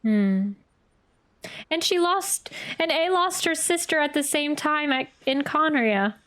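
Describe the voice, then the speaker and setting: A woman's voice: high voice, animated, takes it slowly. A woman giving casual reaction commentary as she plays a game, hesitantly thinking aloud. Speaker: streamer reacting to a game playthrough. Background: soft game music.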